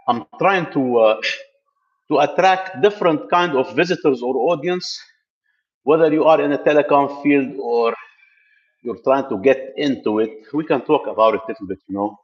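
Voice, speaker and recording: Middle-aged man from Lebanon, loose rambling live host, mid-pitched voice, slightly dull lo-fi sound. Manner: rambling, many fillers and restarts. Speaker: tech channel host. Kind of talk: casual live stream talk. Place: building gym, indoors.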